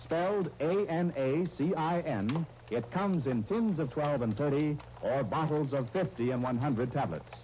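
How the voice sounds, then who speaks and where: A man announcing and narrating in formal 1930s radio style, with measured, deliberate phrasing. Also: on the high side, lively intonation, low-quality recording. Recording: old 1930s radio disc, faint noise.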